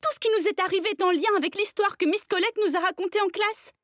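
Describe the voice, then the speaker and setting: A woman's voice: very high-pitched, quick pace, a bit bright, dry recording, clean studio sound. A woman playing a clever, urgent young student girl in a cartoon. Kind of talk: French-dubbed cartoon acting.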